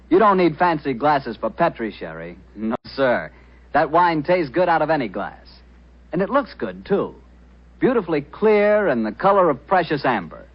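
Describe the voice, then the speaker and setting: An American man working as a 1940s radio announcer and host, with a folksy, chatty salesman's manner. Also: on the high side. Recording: dull, rough 1940s radio recording.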